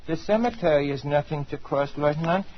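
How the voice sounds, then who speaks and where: An actor playing a small, timid, meek Russian villager in a 1940s radio drama. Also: male voice, on the high side, brisk, close to an everyday voice. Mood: meek, defensive. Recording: dull 1940s radio recording.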